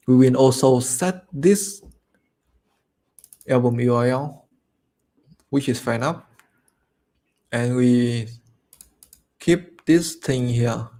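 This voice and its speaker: A man whose first language is Vietnamese, casually talking through his code as he writes it, muttering to himself with lots of fillers, his voice worn low from talking. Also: a little mellow. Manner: thinks aloud, muttering to himself with frequent fillers. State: voice getting low and tired. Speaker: coding tutorial host. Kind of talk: casual live coding walkthrough.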